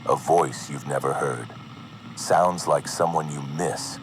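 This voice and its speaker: A man narrating an analog horror video as a cryptic, foreboding figure, slowly and deliberately in short, measured phrases. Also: very deep voice, noisy background. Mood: eerie, musing. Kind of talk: slow scripted horror narration addressed to the listener. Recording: music under the voice.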